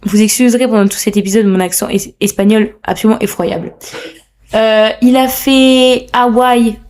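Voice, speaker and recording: A woman's voice: on the high side, steady tone, moderate pace, a little mellow. A young woman hosting a casual solo podcast, chatty, playful and self-mocking, with a slightly sore throat. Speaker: podcast host. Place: room at home.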